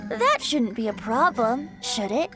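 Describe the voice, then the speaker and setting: A woman's voice: on the high side, sing-song, a little slow, music in the background. A woman voicing a mischievous young male bard in a video game, theatrical, flowery and teasing. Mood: gleeful, teasing. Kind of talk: animated video game voice acting.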